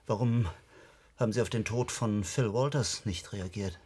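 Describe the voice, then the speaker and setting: A man's voice: fairly low pitch, moderate pace, dark tone, breathy edge. An actor playing a bitter, outraged male official in a German radio drama, confronting an enemy with accusations. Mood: outraged, accusing.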